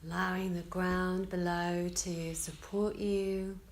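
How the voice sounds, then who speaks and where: Very slow-speaking woman guiding meditation. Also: on the low side, little pitch movement. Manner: soothing, unhurried, spoken in short phrases with long pauses. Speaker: yoga nidra teacher. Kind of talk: guided relaxation meditation.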